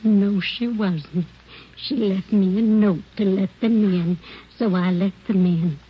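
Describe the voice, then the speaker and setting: Prim talkative american landlady, old radio play, slow raspy delivery, muffled vintage sound. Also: mid-range voice. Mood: firm, indignant. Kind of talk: old-time radio drama acting.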